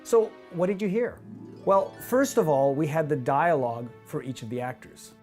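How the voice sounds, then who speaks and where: A man in his fifties with a North American accent presents a scripted behind-the-scenes explainer in a measured, clear manner. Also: on the high side, darkish tone, soft background music. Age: 50s. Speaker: radio drama director and presenter. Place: small indoor studio office with monitors. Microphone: lavalier on shirt.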